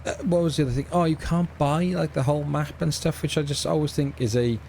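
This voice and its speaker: A man's voice: on the high side, fairly even intonation, fast talker, creaky, a little mellow, a little hiss or hum. A middle-aged man with a Welsh accent, hosting a casual let's play and chatting about his game in a rambling, hesitant way with lots of ums and restarts. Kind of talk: casual gameplay commentary.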